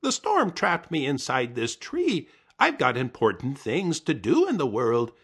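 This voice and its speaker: A man voicing a boastful, bossy male coyote who shouts and commands. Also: medium pitch, huge pitch swings, measured pace, husky, voice pushed up, full character voice.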